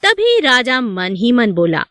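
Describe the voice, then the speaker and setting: Lady narrating a kids story, slow and really expressive, dry studio-like sound. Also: on the high side, no echo. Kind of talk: scripted children's story narration.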